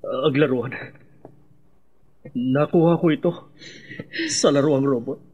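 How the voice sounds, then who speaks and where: A man with a Filipino accent acting a guilt-ridden husband and confessed killer in a radio drama, halting and heavy with remorse. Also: mid-range voice, darkish tone, dull audio, a little hiss or hum, close to an everyday voice. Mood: remorseful.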